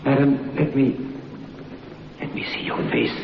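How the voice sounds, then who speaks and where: A haunted man speaks slowly and entreatingly, drawn by a compulsion. His radio horror voice rings in a long reverb on a muffled old recording. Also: medium pitch, sing-song, a little hiss or hum. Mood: entranced pleading. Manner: slow, entranced pleading. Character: haunted, compelled man pleading with a ghostly woman. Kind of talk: radio horror play acting.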